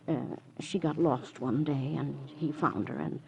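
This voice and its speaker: A woman's voice: low voice, fairly even intonation, a little slow, gravelly, a little mellow. An actress playing a chatty, gossipy female neighbor with a hesitant, confiding way of talking, in 1950s radio drama style. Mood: confiding, insinuating. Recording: muffled, hissy old radio recording.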